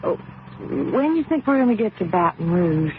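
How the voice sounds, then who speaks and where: A woman's voice: mid-range voice, sing-song, normal speed, lightly acted. A woman plays a devoted, anxious wife and mother in a radio drama. She speaks earnestly and affectionately, with hesitant, repeated starts. The old recording is narrow and thin, with faint noise. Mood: nervous. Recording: band-limited noisy old radio recording.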